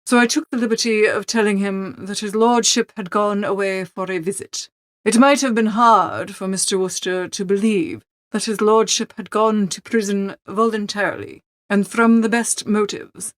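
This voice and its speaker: An unflappable English manservant, played by a woman reader, speaks softly and formally. He keeps a measured, discreet, deferential tone in a dry storybook recording. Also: mid-range voice, fairly even intonation, dry recording, lightly acted. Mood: smoothly deferential. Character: unflappable, deferential English valet, a male servant. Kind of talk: audiobook character acting.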